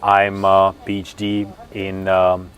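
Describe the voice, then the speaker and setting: A man's voice: low voice, completely flat delivery, slow, highs cut off. A young man from Kazakhstan whose first language is Russian, answering interview questions thoughtfully with many fillers and restarts. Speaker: interview guest, linguist.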